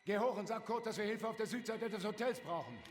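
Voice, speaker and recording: An actor playing a German enemy soldier who barks orders. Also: male voice, sits high, expressive, fast, long reverb tail. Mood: barking.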